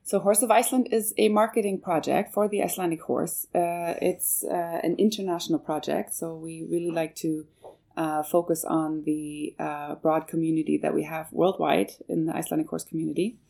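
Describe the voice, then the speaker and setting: A woman's voice: low voice, fairly even intonation, moderate pace, creaky, dark tone. A woman who grew up in Canada and whose first language is German, giving hesitant interview answers as a project manager, with many fillers and restarts. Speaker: guest, manager of a marketing project.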